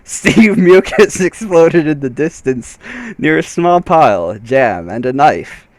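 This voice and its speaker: A man reads a silly fan story aloud as a piece of comic theatre. His pitch swings widely and he sounds amused by the material. The sound is dry, with little room to it. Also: animated, takes it slowly, no room sound. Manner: theatrical, amused, often breaking into laughter. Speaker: reader. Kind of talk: comedic dramatic reading of a garbled fan story.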